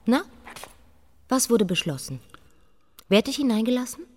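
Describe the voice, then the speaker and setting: A woman's voice: fairly high pitch, very animated, measured pace, slight background noise. An actress playing a proud, defiant young queen in a radio play, speaking in a direct, commanding, sardonic way. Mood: defiant, demanding. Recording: echoing hall with long reverb.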